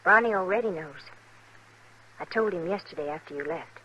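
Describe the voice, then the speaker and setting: A woman's voice: fairly low pitch, moderate pace, pushed and bright, husky. A young American frontier woman, earnest and troubled, speaking in a confiding way. The style is 1950s radio western drama, heard through a muffled old recording. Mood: hesitant. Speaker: radio actress.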